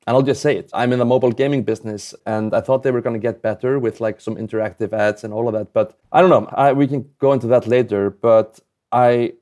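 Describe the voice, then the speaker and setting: A man's voice: mid-range voice, steady tone, quick pace, darkish tone. A man from Iceland, a guest entrepreneur talking candidly and casually in a conversational interview. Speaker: guest, mobile game entrepreneur.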